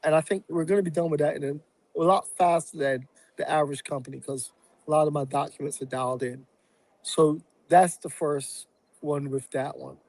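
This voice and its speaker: Podcast guest, man from Barbados, reflective and halting, slightly high pitch, dry recording. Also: fairly even intonation, a little mellow, no room sound, rough recording. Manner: reflective, halting with many fillers. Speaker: real estate investor and guest. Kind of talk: casual podcast interview.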